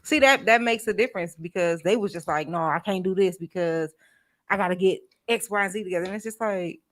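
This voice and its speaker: A woman in casual podcast chat who asks pointed questions and gets animated when she quotes others. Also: on the low side, brisk.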